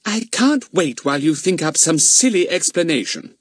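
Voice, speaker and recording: A man's voice: on the high side, huge pitch swings, moderate pace, breathy edge, pitched above the performer's own voice, exaggerated voice. A man voicing an impatient middle-aged mother who scolds her son. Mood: scolding.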